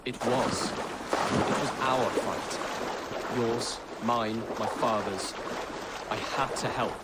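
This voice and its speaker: A man's voice: medium pitch, extremely slow, very noisy. An actor playing an earnest, loyal young male fighter in a video game, pleading at times.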